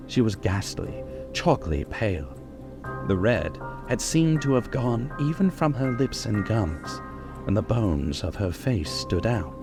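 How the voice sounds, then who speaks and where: Actor as a low-voiced, earnest young doctor. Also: male voice. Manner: formal, measured, dramatic. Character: earnest young English doctor narrating his journal. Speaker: narrator and character. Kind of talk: old-time radio drama narration. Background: prominent music.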